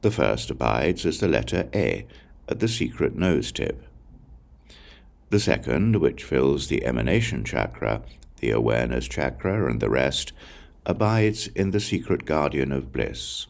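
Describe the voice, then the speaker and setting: A man reading scripture as an audiobook narrator, in a measured, solemn recitation with deliberate pauses. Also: very deep voice, big pitch swings, gravelly. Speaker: audiobook reader.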